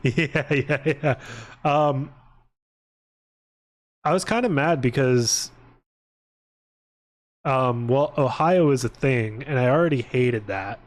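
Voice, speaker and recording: A man's voice: mid-range voice, slow, long pauses. A man chatting casually on a livestream, informal and sweary, often interrupting himself and drifting onto tangents. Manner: profane, informal, tangent-prone, self-interrupting. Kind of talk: casual rambling livestream chat.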